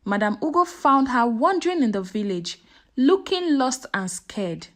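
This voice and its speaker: A woman's voice: high voice, very animated, measured pace, fairly bright tone. A woman narrating a scripted folktale in a dramatic storytelling style.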